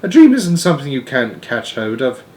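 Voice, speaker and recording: A man's voice: mid-range voice, wildly swooping pitch, moderate pace, a little mellow, voice pushed up. A man voicing a small, plucky storybook girl who is inquisitive, persistent and properly spoken. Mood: eager.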